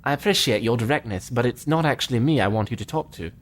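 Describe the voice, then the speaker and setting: A man playing a starship lieutenant, earnest, polite and measured. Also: mid-range voice. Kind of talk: fan audio drama acting.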